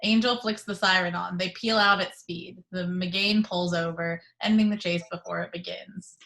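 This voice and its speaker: A woman reading the action descriptions at a script table read, in a plain, even manner. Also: on the low side, normal speed, creaky, low-quality recording. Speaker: narrator reading stage directions.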